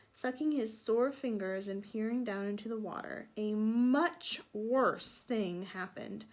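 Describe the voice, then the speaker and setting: A woman with a fairly high voice reads a children's storybook aloud. She is expressive, leaning into the sound words and speaking directly to her young listeners. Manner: expressive, with sound-effect emphasis and questions to listeners.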